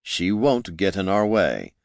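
A man voicing a haughty young male wizard counselor in an audiobook, pompous, with drawn-out vowels. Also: deep voice, big pitch swings, gravelly, no room sound, studio quality, full character voice. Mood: condescending.

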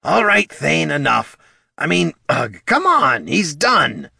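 A man's voice: a little high, huge pitch swings, slow pace, vocal fry, pushed and bright, slightly rough, no echo, studio quality, voice pushed up, exaggerated voice. A man voicing a small, scrappy male kobold who rambles nervously and talks himself in circles. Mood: pleading.

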